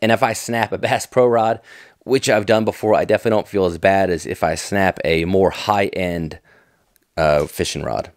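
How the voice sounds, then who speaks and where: A man's voice: sits low, steady tone, normal speed, no room sound, studio quality. A middle-aged American man giving casual, off-the-cuff commentary in a folksy, enthusiastic, nostalgic manner. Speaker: fishing tackle vlogger.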